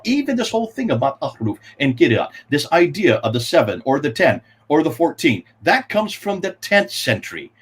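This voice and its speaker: A man's voice: a little high, slightly rough. A man co-hosting a livestream discussion, pressing his points with emphatic rhetorical questions. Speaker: co-host.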